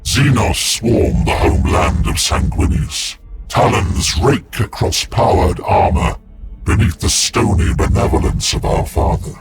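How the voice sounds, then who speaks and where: An actor voicing an ancient, cryptic psychic warrior in a war machine, with a breathy, solemn, portentous delivery over loud game music. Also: male voice, medium pitch, measured pace, natural voice. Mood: ominous. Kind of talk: video game cutscene voice acting.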